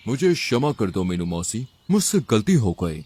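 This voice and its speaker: A man's voice: lowish voice, very animated, moderate pace, darkish tone, slight background noise. A man voicing a stern, proud lion king in a children's cartoon, with a regal, commanding manner. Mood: apologetic. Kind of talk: Hindi children's cartoon voice acting.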